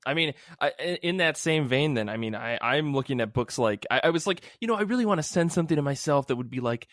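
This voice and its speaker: Man in his thirties, podcast host, casual chat, somewhat high voice, very dry recording. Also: lively intonation, fast talker, a bit bright, no echo.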